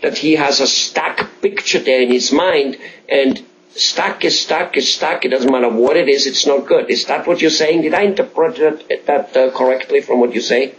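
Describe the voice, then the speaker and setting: A man's voice: medium pitch, normal speed, lo-fi sound. A man from Austria interviewing a doctor, talking in a rambling way with many fillers and restarts. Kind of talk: casual interview chat.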